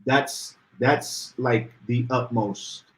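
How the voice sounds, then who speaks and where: A man co-hosting a casual podcast livestream, reading out viewer comments and getting animated as he talks. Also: drawn-out words. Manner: animated, rises in pitch when worked up. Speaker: podcast co-host.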